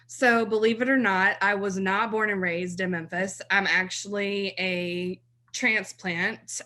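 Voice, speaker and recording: A woman's voice: mid-range voice, steady tone, slow pace, bright, forceful tone. A woman in her thirties from South Mississippi, a blog writer talking as a podcast guest in a chatty way and laughing easily. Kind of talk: podcast interview.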